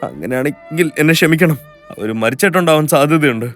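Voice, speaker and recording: A man's voice: a little high, expressive, fairly quick, a bit bright, soft background music. A man voicing a sympathetic, grave authority figure in a children's moral story. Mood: concerned. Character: sympathetic man in authority breaking bad news gently.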